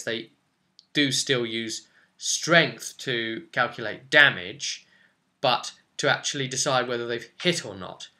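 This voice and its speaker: A man's voice: mid-range voice, sharp tone. A man in his twenties giving an informal explanatory tutorial on tabletop roleplaying, talking to camera at home. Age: 20s. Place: small furnished room at home, indoors.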